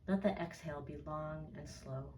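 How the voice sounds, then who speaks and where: A woman narrating a guided meditation in a soothing, measured way, leaving gentle pauses. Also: deep voice, a little hiss or hum. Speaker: therapist leading a guided meditation. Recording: roomy echo, distant from mic.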